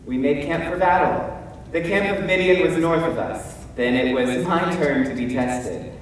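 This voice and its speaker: A man's voice: on the high side, natural voice. A man playing Gideon, a fearful biblical warrior, in an emphatic, hesitant stage monologue. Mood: hesitant, pleading. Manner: theatrical, emphatic, with deliberate pauses and hesitant stammers. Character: Gideon, fearful, self-doubting biblical warrior telling his story. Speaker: stage actor. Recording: distant mic in echoey hall, faint noise.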